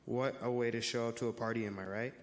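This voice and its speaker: Young male reader as friendly teen explainer, medium pitch, church stage reading, long reverb. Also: fairly even intonation, fast, natural voice. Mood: friendly, explaining. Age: teenager or young adult. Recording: echoey church hall.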